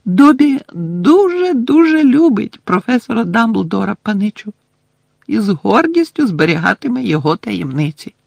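A reader voicing a small, anxious, servile male house-elf who talks about himself in the third person, in a high piping voice. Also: sing-song, normal speed, gravelly, dry recording. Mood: eager. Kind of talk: audiobook character voice.

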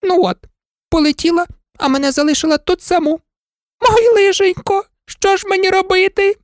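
A woman's voice: extremely high pitch, fairly even intonation, moderate pace, no echo, studio quality, pitched above the performer's own voice. A woman voicing a frightened, chattering old servant woman who pleads and wails. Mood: panicked pleading. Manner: pleading, wailing.

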